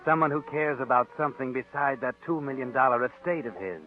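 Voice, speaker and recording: An actor as the mysterious narrator of a 1940s radio mystery, telling the story in a knowing, wry way. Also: male voice, mid-range voice, quick pace, close to an everyday voice. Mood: sly. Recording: tinny old radio broadcast with loud music.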